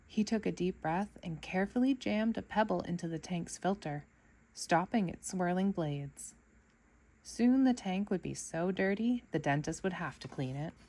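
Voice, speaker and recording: A woman storyteller reading a children's book aloud in an expressive narrating style for kids. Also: fairly low pitch, moderate pace.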